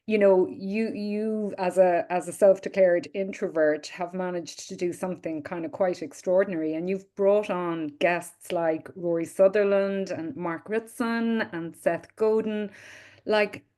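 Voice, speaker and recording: A woman's voice: lowish voice, steady tone, unhurried, mellow. Chatty woman hosting a video call. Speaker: session host.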